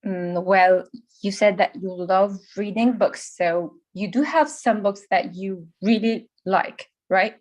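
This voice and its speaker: A young woman speaks in a halting learner's English within an online group chat. Her delivery is full of pauses, fillers and restarts as she searches for words. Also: medium pitch, darkish tone. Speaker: language learner in a discussion group.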